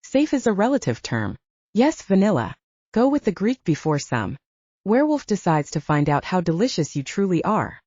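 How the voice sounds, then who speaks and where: A woman's voice: lowish voice, very animated, normal speed, darkish tone, no room sound, lo-fi sound. A woman voicing a brusque, sardonic, menacing man in an audiobook, with a curt, taunting manner. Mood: ominous. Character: Armand, gruff, sardonic male ex-butcher, brusque and menacing. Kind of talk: audiobook character acting.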